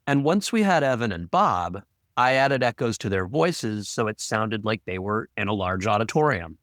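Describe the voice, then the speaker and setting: Guy cohosting a podcast, really animated, teasing chat, dry studio-ish sound. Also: on the low side, normal speed, no room sound. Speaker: podcast co-host and audio producer. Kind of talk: casual podcast discussion.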